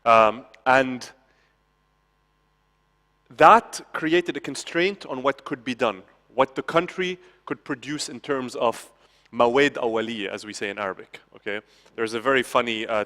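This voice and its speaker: A man's voice: medium pitch, fairly bright tone. A man from Lebanon, giving a conversational conference talk with frequent "okay?" asides and restarts. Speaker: conference speaker. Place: conference hall. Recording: hall reverb from a conference stage.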